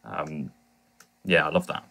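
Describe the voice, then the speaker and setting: A man as a podcast panelist in casual discussion, rambling and haltingly correcting himself as he goes. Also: a bass voice, creaky, sharp tone.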